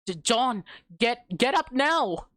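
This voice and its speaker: A woman reading visual novel text aloud as a streamer, stumbling over words and breaking into excitable exclamations. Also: fairly high pitch, takes it slowly, no room sound.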